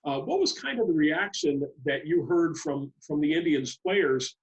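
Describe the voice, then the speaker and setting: A man co-hosting a sports podcast, asking conversational questions in a halting, roundabout way. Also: a little high, lively intonation, recorded from a distance, highs cut off. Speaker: podcast co-host, interviewer.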